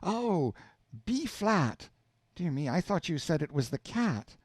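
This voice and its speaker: Male reader playing a high-voiced, exclamatory housewife shouting her half of a phone call for a storybook reading; bouncy inflection. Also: big pitch swings, takes it slowly, dry recording, exaggerated voice. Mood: exclaiming. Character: chattering housewife on the telephone.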